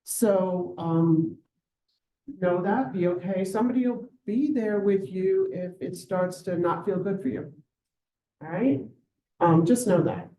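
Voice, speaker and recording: A woman representing a community association, speaking to a live audience in a reassuring, halting way with many pauses. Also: lowish voice, warm tone. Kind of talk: informal remarks to a live audience. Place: community meeting room. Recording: roomy room sound from a distance.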